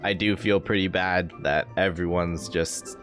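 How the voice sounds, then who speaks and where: A woman's voice: rumbling low, fairly even intonation, a little slow, loud music behind the voice. A woman game streamer casually reacting and commenting while she plays.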